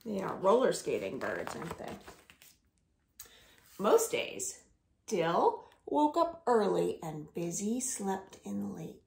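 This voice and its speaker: Slow, lively woman hosting children's storytime, echoey room. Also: medium pitch, vocal fry. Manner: slow, simple questions addressed to small children. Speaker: children's library storytime host. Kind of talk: storytime for young children, singing and reading aloud. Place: at home, indoors.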